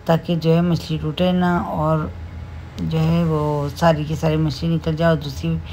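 Warm, low-voiced Pakistani home cook. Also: female voice, slight background noise, low-quality recording. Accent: Pakistani Urdu. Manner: unhurried, homely explaining with many fillers. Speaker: cooking channel host. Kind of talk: home cooking tutorial voice-over. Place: home kitchen.

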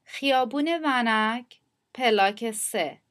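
A woman's voice: fairly high pitch, fairly quick, a bit bright. A woman speaking short scripted dialogue lines in a language lesson as a model for learners. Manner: crisp model phrases for learners.

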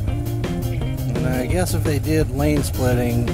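A man vlogging casually as he rides a motorcycle, thinking aloud in an unhurried, off-the-cuff way into a helmet mic. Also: medium pitch, steady tone. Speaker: motovlogger. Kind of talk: casual rambling chat while riding a motorcycle. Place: outdoors, riding a motorcycle in road traffic. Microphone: microphone inside a helmet. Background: music.

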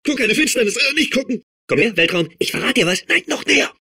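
A man playing a manic, childlike robot sphere obsessed with outer space in a video game, babbling and repeating himself in a strained, husky voice. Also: very high voice, fast, full character voice. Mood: manic excitement. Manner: excitable, babbling, repeating words compulsively. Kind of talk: video game character voice clips.

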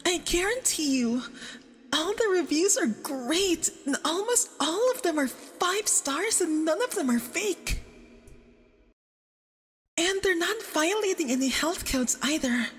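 A woman playing a nervous, clingy, obsessive young femboy boyfriend on a date in an ASMR roleplay, halting and doting, with doubled, reverberant audio. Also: very high-pitched, animated, exaggerated voice. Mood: anxiously reassuring. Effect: doubled audio with added reverb. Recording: long reverb, doubled voice track.

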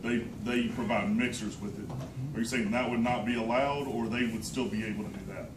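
A man in the audience who speaks up loudly and plainly to ask a question. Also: mid-range voice, fairly even intonation, a little fast, background noise. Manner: loud, plain-spoken. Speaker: audience member. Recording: echoey hall, distant phone mic.

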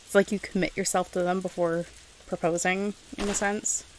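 A woman's voice: on the low side, flat delivery, normal speed, slight background noise, rough recording. A woman giving casual let's-play commentary as she games, rambling and thinking aloud to herself. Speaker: video game streamer narrating gameplay.

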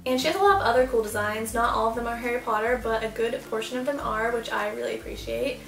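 A woman's voice: on the high side, quick pace, roomy sound, recorded from a distance, faint music behind. A young woman, a mom vlogger, gushing casually about baby clothes while sitting indoors in front of a camera. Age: young adult. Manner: bubbly, gushing, lots of "super" and "like". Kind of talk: casual product haul. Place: small room indoors, seated before louvered doors.

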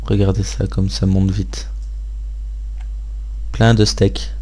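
Low-voiced man doing casual game commentary. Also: flat delivery, takes it slowly, dark tone, low-quality recording. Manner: informal, thinking aloud. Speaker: gaming video host.

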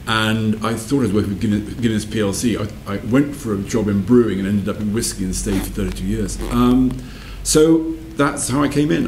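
A man of about sixty reminiscing in an interview, repeating phrases for emphasis between hesitant pauses. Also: on the low side, darkish tone, reverberant, a little hiss or hum, rough recording. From south-west England. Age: around 60. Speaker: interviewee, whisky company managing director. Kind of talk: reflective interview storytelling. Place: wood-panelled room by a fireplace, indoors.

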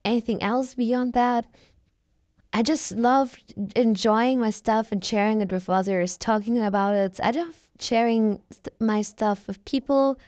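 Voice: mid-range voice, darkish tone, dry recording. A young-sounding voice run through a voice changer, chatting casually in a rambling vlog. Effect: voice changer filter. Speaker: content creator.

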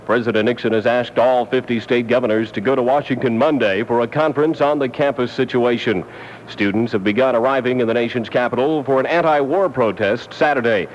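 A man's voice: medium pitch, fairly quick. American male radio news anchor, 1970 style, formal delivery; dull, faintly noisy air check sound. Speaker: radio newscaster.

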